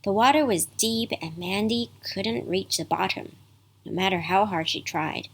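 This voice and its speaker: A woman storyteller reads a bedtime story at an unhurried pace. Her voice is husky and sits at a medium pitch. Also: low-quality recording. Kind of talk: scripted bedtime story narration.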